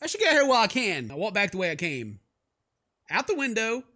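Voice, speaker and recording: A male gaming host with a high, bright voice reads game text and riffs on it. His delivery is theatrical and sarcastic, leaping around in pitch as he exclaims. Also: wildly swooping pitch, fast. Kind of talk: let's play commentary mixed with reading game text aloud.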